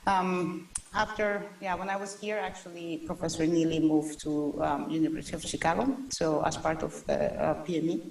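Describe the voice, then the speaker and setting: A woman in her thirties, a researcher, introducing herself haltingly at a panel discussion. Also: low-pitched, fairly even intonation, gravelly. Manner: hesitant, halting delivery. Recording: echoey, distant remote-panel sound.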